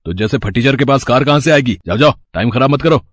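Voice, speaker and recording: A man's voice: medium pitch, animated, quick pace, no room sound, lightly acted. A man acting a dismissive, obstructive police constable in a moral-story cartoon, curt and scornful.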